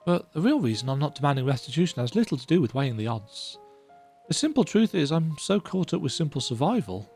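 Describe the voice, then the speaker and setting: A tired young soldier, played by a woman, earnest and quietly resigned, his delivery rising and falling in lively swings. Soft music sits underneath. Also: deep voice, husky, full character voice. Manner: earnest, reflective, softly resigned.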